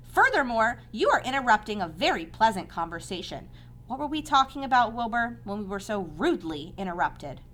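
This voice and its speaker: Wise motherly spider, acted by a woman, storybook character, raised pitch, lively intonation, brisk, precise, protective. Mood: sharp, indignant. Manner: brisk, precise, gently instructive.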